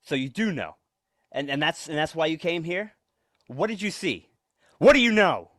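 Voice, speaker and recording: A man's voice: fairly high pitch, very animated, moderate pace, a bit bright, no echo, clean studio sound. A man acting a nervous, secretive husband with menace under his evasive stammer, in 1940s radio suspense style. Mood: menacing, agitated. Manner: stammering, evasive, repeats words.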